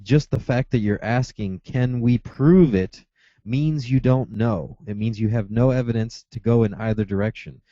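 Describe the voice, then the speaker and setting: A man's voice: mid-range voice, moderate pace, warm tone, dry recording, highs cut off. A man arguing in a heated debate, insistent, with frequent stammering restarts. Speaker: atheist caller.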